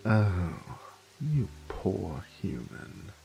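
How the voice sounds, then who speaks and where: A man's voice: on the low side, creaky, warm tone, exaggerated voice. A man playing an ancient, haughty, predatory vampire lord in an audio drama, with a pompous, condescending, theatrical drawl and whispered asides. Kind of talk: scripted roleplay audio drama.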